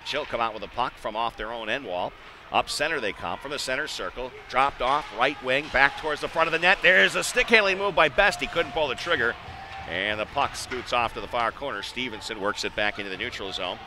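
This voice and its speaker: A man gives live hockey play-by-play in clipped, running phrases. His voice sits at a middle pitch, with clear arena noise behind him. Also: a little fast. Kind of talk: live sports radio commentary. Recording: noisy live ice arena ambience.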